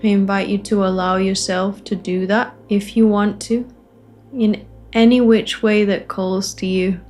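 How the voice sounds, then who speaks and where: A woman facilitating a guided meditation, offering gentle invitations in short phrases with long pauses between them. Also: mid-range voice, fairly even intonation, faint music behind. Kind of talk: guided meditation, spoken softly to listeners.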